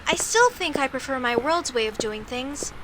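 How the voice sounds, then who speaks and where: A woman's voice: sits high, expressive, moderate pace, noisy background. A woman voicing a young elf mage girl in a comedic audio roleplay, speaking formally with a questioning, indignant and easily flustered manner. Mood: stubborn, reasoning.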